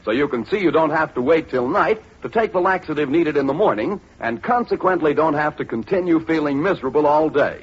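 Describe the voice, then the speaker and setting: Polished male vintage radio announcer, highly melodic. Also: lively intonation, brisk, hardly any pauses, breathy edge. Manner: polished, emphatic salesmanship. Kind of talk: 1940s radio advertisement, scripted. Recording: muffled vintage radio, faint noise.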